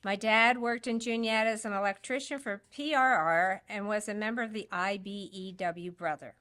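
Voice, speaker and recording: A woman reads a prepared statement aloud at a public forum, keeping a steady, measured pace. Her voice has an ordinary pitch for a woman, and she makes the occasional small slip. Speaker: stand-in reading a candidate's statement.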